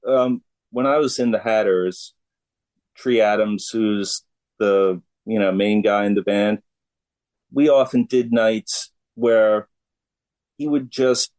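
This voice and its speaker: A man's voice: lowish voice, steady tone, slow, a little mellow. A middle-aged American man, a musician chatting in a laid-back, plain-spoken way as an interview guest. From United States. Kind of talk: casual interview conversation.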